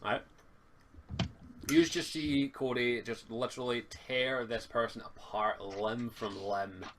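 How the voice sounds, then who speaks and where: A Scottish man acts as game master, describing action and calling for dice rolls in a casual, halting way. It sounds like a home voice chat mic, with slight background noise and clicks. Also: mid-range voice, little pitch movement, a bit bright.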